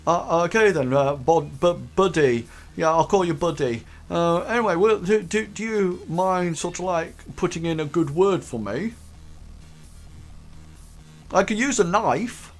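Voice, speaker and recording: Eager, stammering recruit, played by a man; high-ish. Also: expressive, unhurried, faint music behind. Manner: stammering, hesitant. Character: nervous, eager young male recruit.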